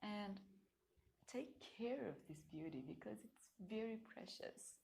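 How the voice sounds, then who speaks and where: A young adult woman whose first language is Portuguese, hosting a casual pick-a-card reading in a gentle, soft-spoken way with frequent light laughs. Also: mid-range voice, lively intonation, unhurried, gravelly, a little hiss or hum. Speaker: card reader and channel host.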